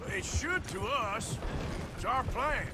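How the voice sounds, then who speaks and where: A man's voice: extremely high pitch, expressive, slow, full character voice. A man playing a weary, sceptical American outlaw in a Western video game, blunt and grumbling with dry sarcasm. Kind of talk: dramatic video game acting. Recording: game audio with background noise.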